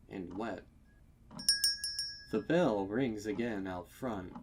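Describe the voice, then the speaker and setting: A man narrating a horror story from a script, dramatically, with deliberate pauses and ominous emphasis. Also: on the low side, darkish tone, a little hiss or hum. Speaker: fan fiction narrator.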